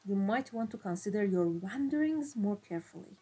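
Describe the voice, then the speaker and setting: Woman playing a husky, menacing mafia boss lady. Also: medium pitch, lively intonation, mellow. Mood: intrigued, probing. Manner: deliberate, measured, imperious. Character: cold, commanding, menacing female vampire mafia boss. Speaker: ASMR roleplay voice actor. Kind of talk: ASMR roleplay drama. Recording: music behind the voice. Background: classical background music.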